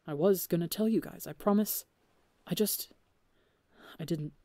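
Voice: medium pitch, a little slow, mellow, dry recording, lightly acted. A storybook reader voicing a guilty, flustered male pirate captain who pleads and swears while defending himself. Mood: flustered pleading.

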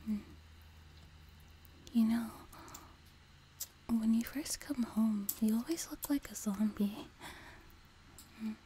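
A woman voicing a sweet, teasing, doting young demon girl in a soft, breathy whispered ASMR roleplay, close to a binaural mic. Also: on the high side, slight background noise. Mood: caring, soothing. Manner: gentle, slow, teasing, intimate. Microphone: close binaural microphone.

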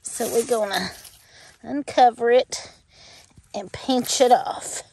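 A woman's voice: a little high, slow, creaky, dark tone, husky, dry recording. Chatty woman foraging outdoors. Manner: chatty, folksy, laughs easily, many fillers. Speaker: homestead vlogger. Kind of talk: casual walk-and-talk narration. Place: outdoors in the woods behind a farmhouse. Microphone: handheld camera while walking.

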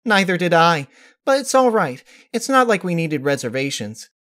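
A man voicing a bubbly, blunt female cartoon pony party planner with an excitable manner. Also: sits high, moderate pace, voice pushed up. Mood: cheerful.

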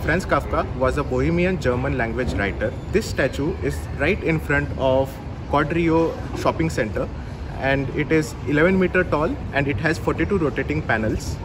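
A man's voice: on the high side, normal speed, noisy background. A man, giving practical information in a travel vlog. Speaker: travel vlogger. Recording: music bed under the voice.

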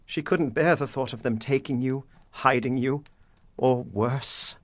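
A man's voice: medium pitch, natural voice. A man playing a grave, anguished father. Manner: grave, deliberate, impassioned. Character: anguished, guilt-ridden father, stern and protective. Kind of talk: period audio drama acting.

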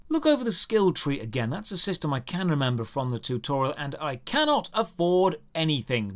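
A man narrates a scripted game review in a fairly high voice. His intonation swings widely while he delivers dry, sardonic commentary with a straight-faced edge. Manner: sardonic, deadpan.

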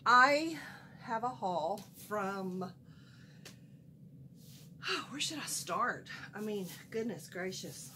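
A middle-aged woman with a twang, slightly nasal from a cold, rambles happily about her bargains and loses her train of thought. She sounds like she is talking to a camera in a small room at home. A steady background hiss runs under her voice. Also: mid-range voice, extremely slow, long pauses. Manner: folksy, animated, chatty, wanders off mid-sentence. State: has a cold, a little nasal. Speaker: haul vlogger. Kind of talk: casual chatty haul vlog.

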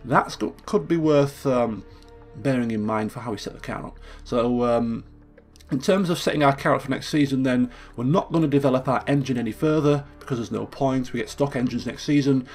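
Chatty man with a gravelly voice. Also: mid-range voice, brisk, a little mellow, soft background music. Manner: chatty, thinking aloud, self-correcting. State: croaky from just waking up. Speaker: let's play host. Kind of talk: casual gameplay commentary.

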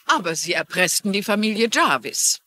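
A woman's voice: mid-range voice, big pitch swings, normal speed, bright, forceful tone. An actress playing a shrewd, courteous older woman amateur sleuth in a German-dubbed TV crime drama, composed and inquisitive. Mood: composed, probing.

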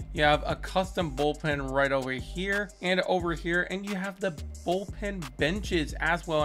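A man's voice: a little high, a bit bright. Upbeat young man touring game stadiums. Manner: upbeat, enthusiastic, praising. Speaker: gaming video creator. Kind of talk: casual game commentary. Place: small indoor room, webcam corner.

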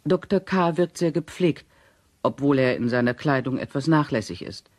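Sachlicher Erzähler, trocken aufgenommen. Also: male voice, mid-range voice, expressive, dry recording. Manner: measured, formal, matter-of-fact. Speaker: reader of a witness statement. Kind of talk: scripted radio play narration.

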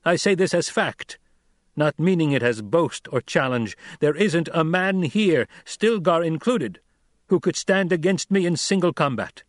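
A man voicing a young male desert leader who speaks forcefully and questions his listeners rhetorically, in an audiobook. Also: on the high side, lively intonation, measured pace, dry recording, clean studio sound, voice pushed up. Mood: commanding. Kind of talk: audiobook character voice.

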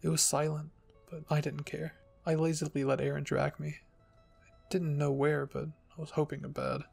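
A man narrating a first-person story in a scripted ASMR reading style, with a husky, gravelly voice. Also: on the high side, little pitch movement, moderate pace, a little mellow, faint music behind. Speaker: fan fiction reader and narrator.

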